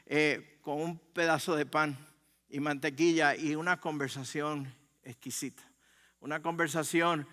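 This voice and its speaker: A male pastor with a Puerto Rican accent delivers a sermon in a bright, smooth, resonant voice. He phrases deliberately, pausing as he tells stories conversationally. The sound carries the long reverb of a large hall. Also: a little high. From Puerto Rico. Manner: deliberate phrasing, dramatic pauses, conversational storytelling. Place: large room, speaking to a congregation.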